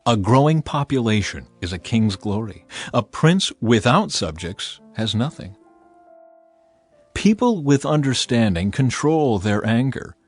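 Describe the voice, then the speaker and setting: A man reading scripture as a dramatized audiobook narrator, with a solemn, measured and expressive delivery. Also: medium pitch, soft background music.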